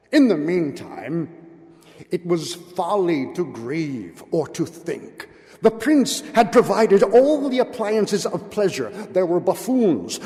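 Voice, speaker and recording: Slow, theatrical man reading in a reverberant hall. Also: high voice, darkish tone. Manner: measured, deliberate phrasing with dramatic pauses. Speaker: narrator reading a classic tale aloud. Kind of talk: theatrical dramatic literary reading of gothic fiction. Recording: long reverberant hall echo.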